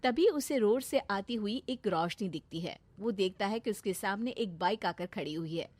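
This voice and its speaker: A woman's voice: medium pitch, animated, normal speed, a little mellow, no room sound. A woman storyteller reading a scripted moral tale for children.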